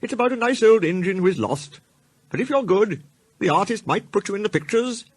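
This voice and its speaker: A man playing a kindly clergyman in a children's storybook fan dub, encouraging and gently admonishing. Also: sits high, lively intonation, fairly quick, husky, no room sound. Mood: reassuring, jovial. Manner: encouraging, indulgent.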